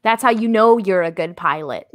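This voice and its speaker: A woman's voice: medium pitch, animated, moderate pace, dry recording, clean studio sound, lightly acted. A woman playing a confident, blunt space navigator in a comedy table read.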